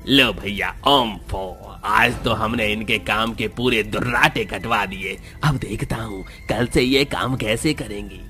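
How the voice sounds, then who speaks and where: A man's voice: fairly low pitch, slow pace, few pauses, pushed and bright, faint music behind. A man voicing a smug, scornful shopkeeper ringleader in a village cartoon story, speaking emphatically. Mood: gloating. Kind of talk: Hindi cartoon story acting.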